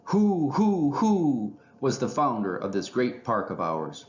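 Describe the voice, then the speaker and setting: A man's voice: fairly low pitch, expressive, moderate pace, a bit bright, echoey room, lo-fi sound. Man playing a pompous old owl lecturer, theatrically swooping. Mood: commanding, dramatic. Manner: commanding, dramatic flair, oratorical. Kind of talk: children's storybook character reading.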